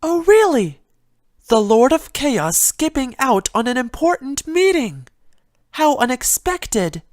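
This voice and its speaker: A woman voicing a gentle, shy young pony character in a story reading, soft and sweet. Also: high voice, very animated, takes it slowly, no echo, studio quality, pitched above the performer's own voice, heavily acted. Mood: happy, shy. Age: young adult. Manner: sweet, timid.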